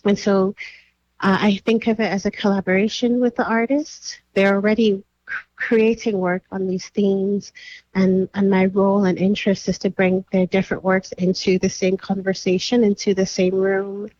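A woman from Nigeria, a curator and writer, answering interview questions thoughtfully with many pauses and fillers. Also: mid-range voice, little pitch movement, darkish tone, no room sound, lo-fi sound.

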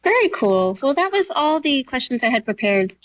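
A woman's voice: high-pitched, very animated, moderate pace, muffled recording. A woman interviewer asking prepared questions in a friendly way.

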